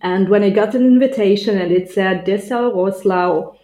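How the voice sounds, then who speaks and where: Female podcast guest, Ukrainian accent, soft-edged mellow voice, conversational, recorded with noticeable room echo. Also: medium pitch, moderate pace. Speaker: podcast guest, language teacher. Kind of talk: casual interview answers.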